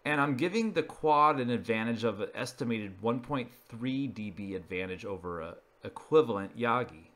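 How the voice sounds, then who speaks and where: A man's voice: medium pitch, lively intonation, normal speed, hardly any pauses, a bit bright. A man presenting an informal narrated slideshow, explaining each item in a matter-of-fact way. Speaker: video presenter.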